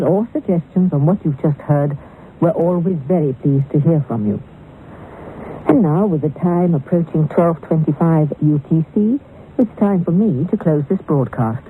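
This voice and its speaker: A woman's voice: sits low, expressive, normal speed. A woman radio announcer reading a scripted shortwave broadcast sign-off in a formal presenting style. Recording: tinny, noisy 1980s shortwave radio.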